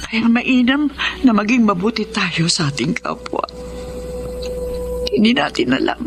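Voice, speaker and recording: A woman playing a humbled older wife and grandmother-to-be in a radio drama, speaking earnestly and reflectively with a gravelly voice. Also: mid-range voice, big pitch swings, unhurried, soft background music. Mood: remorseful, reflective.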